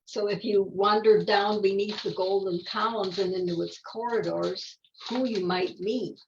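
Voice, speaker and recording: An older woman reading a picture book aloud, unhurried, with casual explanatory asides. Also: medium pitch, fairly even intonation, a little hiss or hum. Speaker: children's story reader. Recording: dull, muffled lo-fi sound.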